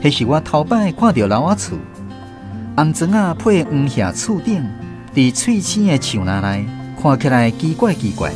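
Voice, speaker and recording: Taiwanese guy narrating country childhood memories, radio drama, lively up and down pitch, soft music. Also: moderate pace. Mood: reflective. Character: grown man fondly recalling his country childhood.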